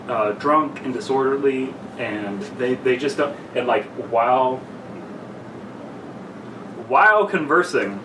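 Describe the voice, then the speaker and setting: A man telling loose anecdotes as a podcast co-host, rambling and acting out what people said. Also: mid-range voice. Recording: echoey, distant mic with loud noise.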